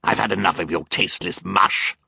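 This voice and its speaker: A man voicing an arrogant, menacing male storybook villain who growls and sneers in a drawling, gravelly voice. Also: deep voice, sing-song, slow, sharp tone, no echo, heavily acted. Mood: scornful.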